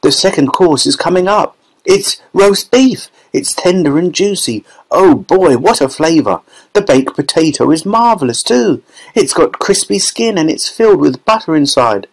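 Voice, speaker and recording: A man reading a storybook aloud, voicing a spoiled young girl who gushes excitedly. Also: a little high, normal speed, voice pushed up, rough recording. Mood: gushing. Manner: gushing, excited chatter.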